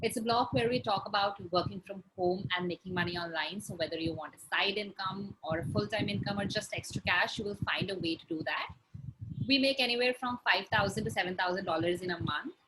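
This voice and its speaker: A woman's voice: mid-range voice, fairly quick, background noise. Chatty young woman with an Indian accent. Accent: Indian English. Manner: chatty, laughs between points, light fillers. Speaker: guest, blogger. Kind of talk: conversational interview answers.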